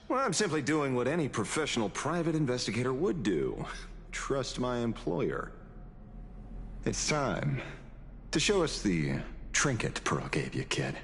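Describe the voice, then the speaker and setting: A man voicing a sly retired ranger turned detective in a video game, wry and theatrical with unhurried confidence. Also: mid-range voice, vocal fry, a bit bright, a little hiss or hum, heavily acted. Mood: teasing, confident. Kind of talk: dramatic video game voice acting.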